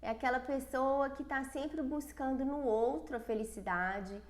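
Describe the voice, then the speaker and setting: Brazilian woman coach, stuffy nose from a cold, fairly high voice, earnest and personal, echoey room. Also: steady tone, measured pace. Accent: Brazilian Portuguese. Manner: earnest, personal, with frequent restarts. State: congested from a cold. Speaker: self-esteem coach. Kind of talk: casual livestream talk.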